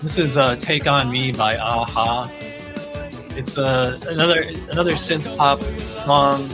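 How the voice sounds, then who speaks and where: A man's voice: mid-range voice, fairly even intonation, a little slow. A man hosting a podcast, chatting casually about pop music. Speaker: podcast host.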